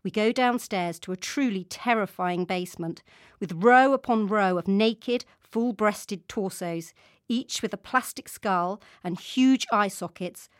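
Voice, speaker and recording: A woman narrating a scripted radio news feature in a calm, wry storytelling manner. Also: mid-range voice, measured pace, dry recording, clean studio sound. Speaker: radio correspondent.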